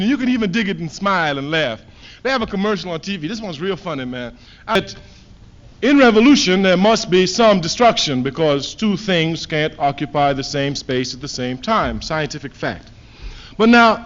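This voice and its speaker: A man from Trinidad delivers an emphatic political speech, hammering points home by repeating them. His voice sits high and leaps up and down in wide swings, rising to loud declarations before dropping to low asides. The sound is dull and muffled, like an old archive recording. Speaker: political speaker.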